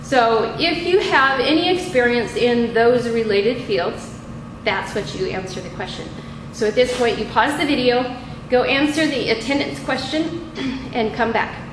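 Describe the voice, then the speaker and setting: A middle-aged woman with a slightly high voice teaches a hands-on lab procedure. She is methodical and halting, taking her time over each step. The camera's microphone picks her up from a few feet away, with clear noise behind her. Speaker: college lab instructor. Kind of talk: instructional lab demonstration, unscripted. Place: small indoor lab room. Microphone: camera mic a few feet away. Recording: echoey room with clear background noise.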